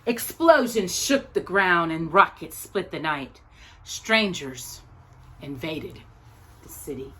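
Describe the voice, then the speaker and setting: Slow-paced woman reading a storybook aloud. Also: on the low side, animated, bright, forceful tone, breathy edge. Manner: deliberate, dramatic pauses between short phrases. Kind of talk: read-aloud children's storytime, expressive narration.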